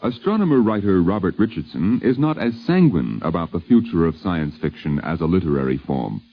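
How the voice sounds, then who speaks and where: A man's voice: low voice, big pitch swings, brisk, breathy edge. An American man narrating a scripted 1950s radio documentary as host, with a wry, literate delivery on an old radio recording. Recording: dull lo-fi vintage radio recording.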